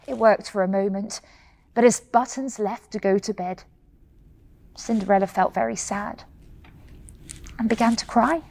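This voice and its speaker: A woman telling a children's bedtime fairy tale in an expressive, playful way, laughing often. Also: a little high, no echo. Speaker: storyteller. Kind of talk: children's bedtime story reading.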